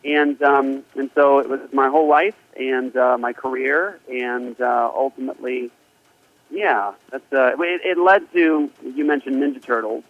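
A man's voice: medium pitch, a little slow, dry recording. Earnest man on a tinny phone line. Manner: earnest, rambling with many fillers. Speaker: pastor, guest. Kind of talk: casual phone interview.

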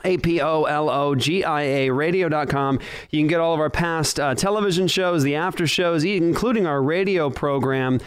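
A man's voice: on the high side, normal speed, clear, resonant tone, dry recording. Brief: male talk-show host, casual and filler-heavy, clean close sound. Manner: rambling, filler-heavy, jokey. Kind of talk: casual chat.

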